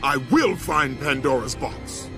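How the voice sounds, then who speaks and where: An actor playing a fierce, vengeful Spartan warrior in a video game, declaiming with force and intensity. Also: male voice, mid-range voice, expressive, takes it slowly, over music. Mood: furious, defiant. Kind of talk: dramatic video game acting.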